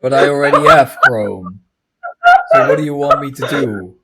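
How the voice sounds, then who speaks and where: A man's voice: mid-range voice, huge pitch swings, moderate pace, very noisy. A man in a casual unscripted online chat, speaking hesitantly and repeating his words as he goes. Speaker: video co-host.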